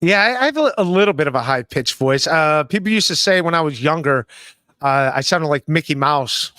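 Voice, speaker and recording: A man's voice: fairly high pitch, lively intonation, brisk, bright, forceful tone, clean tone, dry recording. A man in his forties hosting a casual podcast from home on a studio mic, reading chat messages and joking about himself. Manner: self-deprecating, reads chat messages aloud. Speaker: podcast host. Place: home room, indoors. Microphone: studio mic with headphones, video call.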